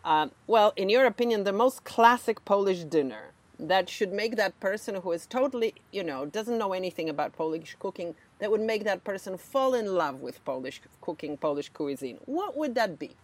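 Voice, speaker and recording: A woman interviewer with a lively, swinging pitch. She poses probing questions one after another. Her voice sits mid-range for a woman. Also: normal speed, hardly any pauses.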